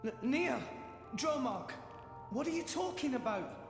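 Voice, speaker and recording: A man's voice: extremely high pitch, lively intonation, a little slow, exaggerated voice. An actor playing an earnest, frightened teenage boy hero in a video game, stammering and pleading. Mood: bewildered. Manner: stammering, pleading, breathless exclamations. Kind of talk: video game cutscene voice acting. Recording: echoey hall reverb with music behind.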